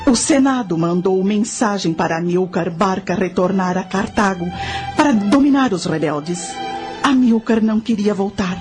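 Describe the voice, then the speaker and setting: A solemn high priestess of ancient Carthage, played by a woman for a radio drama. She recounts events in grave, formal phrases at a middle pitch, with music underneath. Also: animated, moderate pace, lightly acted. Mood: grave narrating.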